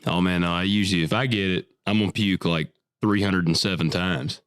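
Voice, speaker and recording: A man co-hosts a loose, joking podcast chat, sounding sick and coughy, his voice sitting low. He talks bluntly and swears freely. The sound is close and dry with no room echo. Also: steady tone, brisk, a bit bright, clean tone. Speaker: podcast co-host, farmer. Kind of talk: casual chat.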